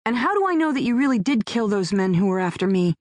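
A woman narrator voicing a young woman on the run who speaks in a fierce, flat, defiant way. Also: mid-range voice, fast, dry recording, close to an everyday voice.

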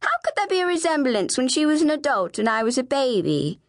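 A woman's voice: fairly high pitch, very animated, normal speed, voice pushed up. A woman voicing a precocious, indignant eleven-year-old girl in an audiobook, with precise, haughty, wordy speech. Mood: defiant. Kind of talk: audiobook character dialogue.

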